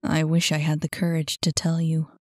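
A woman's voice: low voice, completely flat delivery, normal speed, dry recording, studio quality. A woman reading a storybook and voicing a nervous, self-doubting young man who speaks hesitantly. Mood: despairing.

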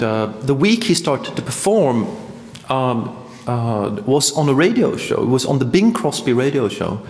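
A man in his thirties from Sweden with a Swedish accent, telling a rambling story as a performance artist, full of hesitations and restarts. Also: mid-range voice. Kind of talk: performance monologue. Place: large hall. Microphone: stand mic. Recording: echoey hall, long reverb.

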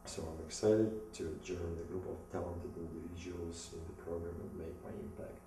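A man in his thirties from Russia with a Russian accent, giving a halting, rehearsed presentation in English from notes. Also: sits low, flat delivery, mellow. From Moscow, Russia. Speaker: business school applicant. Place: small indoor room against plain wall. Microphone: distant camera mic. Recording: echoey room, distant mic, some noise.

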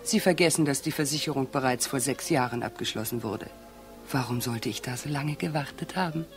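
An actress playing a cool, mocking widow with a self-possessed, provocative manner in a radio crime drama. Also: female voice, a bass voice, a little fast, music in the background. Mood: guarded, mocking. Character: cool, scornful, provocative widow of a murdered man.

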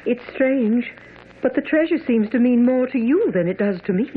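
An actress in a radio drama playing a polite, gentle young lady. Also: a little high, animated, moderate pace, breathy edge. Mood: puzzled. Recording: dull old radio broadcast.

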